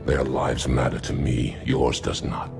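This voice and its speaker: A proud alien commander from a game, with a very deep, gravelly growl. He is blunt and openly scornful. Loud orchestral music fills the background. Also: level tone, measured pace, exaggerated voice. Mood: contemptuous. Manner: blunt and contemptuous. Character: gruff male alien commander, proud and hostile.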